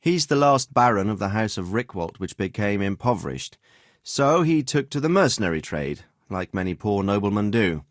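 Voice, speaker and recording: An actor playing a dignified, fatherly middle-aged nobleman in a medieval video game, speaking in formal, deliberate phrases. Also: mid-range voice, no echo, clean studio sound, lightly acted. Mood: wry, informative. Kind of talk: scripted video game dialogue.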